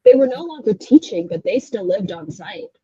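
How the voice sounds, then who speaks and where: A woman hosting a relaxed conversation, spinning anecdotes with dry, wry humor. Her voice has a slight husky edge. The sound is dry and somewhat dull, like a modest home setup. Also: a little high, normal speed, no echo. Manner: chatty storyteller with wry asides. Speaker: host. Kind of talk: casual chat.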